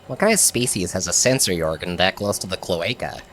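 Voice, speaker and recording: Man as a very deep, grumpy alien bug. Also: expressive, brisk, fairly bright tone. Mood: sardonic. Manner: dry, grudging wisecracks. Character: grumpy sarcastic alien bug crew member. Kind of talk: comedic audio drama acting.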